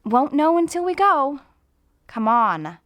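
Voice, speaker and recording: Brisk, high-voiced wry sidekick played by a woman. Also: big pitch swings, fairly bright tone, dry recording, studio quality, exaggerated voice. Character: brisk, wry female companion to a sleuth.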